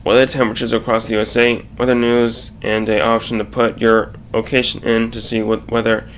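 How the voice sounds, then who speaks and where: A man narrating a scripted slide presentation in a halting way, often stumbling and correcting himself. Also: mid-range voice, little pitch movement, a little hiss or hum.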